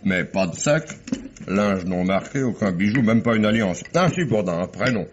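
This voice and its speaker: Actor as low-voiced, wry French police commissioner. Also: male voice, animated, natural voice. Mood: wry, familiar. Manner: brisk questioning, dryly wry. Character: wry, businesslike male police commissioner questioning witnesses. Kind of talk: 1950s French radio drama acting. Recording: muffled, hissy old radio recording.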